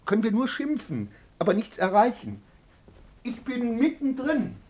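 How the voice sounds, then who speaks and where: Vain opportunist actor, radio drama: histrionic, husky, hugely animated pitch. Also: male voice, a little slow, full character voice. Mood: urgent, persuasive. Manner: histrionic, affected. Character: vain, opportunistic, theatrical male stage actor on the rise.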